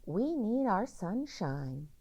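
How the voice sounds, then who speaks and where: Lady doing a grumpy storybook farm animal, loud and complaining, drags words out, swoopy pitch. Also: very animated, dull audio, heavily acted. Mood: indignant. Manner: loud, emphatic, drawn-out scolding. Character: indignant, complaining barnyard animals in a children's fable.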